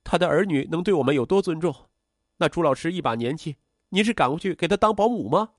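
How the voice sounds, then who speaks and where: A man voicing an emotional, headstrong young adult daughter in a story narration, insistent and high-strung. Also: lively intonation, quick pace, dry recording, studio quality. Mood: agitated, scornful. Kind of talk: acted dialogue in audio story narration.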